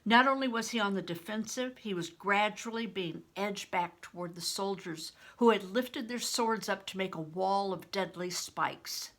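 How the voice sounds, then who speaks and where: An older woman reading a children's novel aloud as a storyteller, in expressive, deliberate audiobook narration. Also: mid-range voice.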